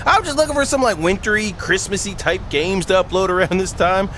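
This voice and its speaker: A man's voice: high-pitched, fairly quick, a little hiss or hum. A man hosting a gaming video, reading aloud and breaking off for playful, jokey commentary. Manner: self-interrupting, jokey, animated.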